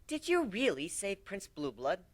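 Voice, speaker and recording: Man acting an eager, high-voiced pony recruit. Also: wildly swooping pitch, measured pace, exaggerated voice. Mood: eager questioning.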